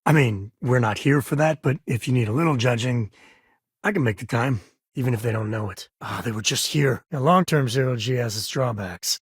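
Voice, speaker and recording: An actor playing a rugged, easygoing male space ranger in a video game, with a husky voice and a wry, folksy, conversational manner. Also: medium pitch, brisk, dry recording, studio quality, close to an everyday voice. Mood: casual. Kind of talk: expressive game companion dialogue.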